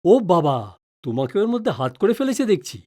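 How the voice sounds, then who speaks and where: A woman's voice: fairly low pitch, big pitch swings, fast talker, warm tone. A woman playing a wary, joking middle-aged father in an audio drama, with a teasing, exclamatory manner. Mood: teasing grumble. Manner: teasing, mock-pompous, exclamatory.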